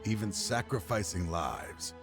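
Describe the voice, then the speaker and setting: A grieving, middle-aged game character with a very low voice. He is brooding and theatrical, weighing his words like a weary, obsessed former enforcer, with music playing underneath. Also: lively intonation, unhurried. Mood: somber, confiding. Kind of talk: dramatic video game voice acting.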